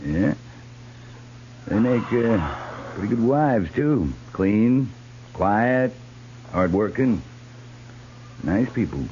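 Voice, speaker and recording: A man playing a laconic American cavalry captain in a 1950s radio western, unhurried, folksy and understated. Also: lowish voice, animated, dark tone. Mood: mild, persuasive. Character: laconic, easygoing cavalry captain, independent-minded. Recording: muffled 1950s radio recording, faint hum.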